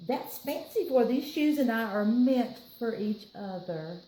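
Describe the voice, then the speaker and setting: A woman's voice: a little high, animated, a little slow, warm tone, reverberant, poor audio. A woman with a Southern US accent reading the lines of a dramatic little girl who loves fancy things, gushing and stretching out her emphasis. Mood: pleading.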